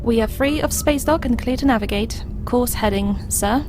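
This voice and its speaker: A woman playing a crisp, obedient starship helm lieutenant in a science fiction audio drama. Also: fairly high pitch, normal speed, background noise, lightly acted. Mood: crisp, efficient.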